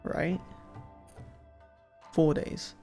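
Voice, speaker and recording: A man's voice: very slow, warm tone. A man giving casual livestream commentary as he plays a game. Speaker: game streamer. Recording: music behind.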